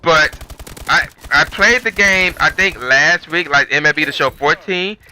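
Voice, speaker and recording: A man's voice: on the high side, a little slow, sharp tone. A man telling a story as a channel host, in a rambling, conversational, slangy way. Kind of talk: casual storytelling commentary.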